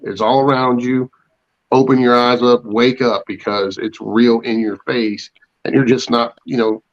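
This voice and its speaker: A man chatting as a panelist on a talk show in a plain-spoken, folksy way. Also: mid-range voice. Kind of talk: casual chat. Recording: dull lo-fi online audio.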